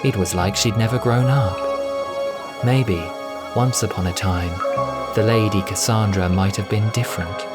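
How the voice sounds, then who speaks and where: A man with a fairly low voice narrates a story in a dramatic, scripted audiobook style. Music runs clearly behind him. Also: unhurried.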